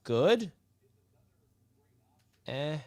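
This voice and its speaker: A man's voice: fairly high pitch, very animated, very slow, warm tone. A man hosting a casual live sports stream, talking straight to his chat in a hyped, chatty way. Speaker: livestream host. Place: outdoors in the sun.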